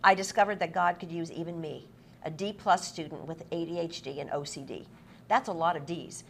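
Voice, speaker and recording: Low-voiced woman interviewing amid clear noise. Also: steady tone, fairly quick, fairly bright tone. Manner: effusive, affirming. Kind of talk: on-stage interview with read-aloud book passages. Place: busy convention event room. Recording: clearly noisy live event room recording.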